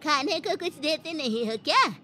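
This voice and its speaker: A woman's voice: high voice, animated, normal speed, background noise. A woman voicing a caring, blunt old village grandmother who fusses and scolds affectionately in a Hindi cartoon story. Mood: fussing, caring. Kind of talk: Hindi animated moral story acting.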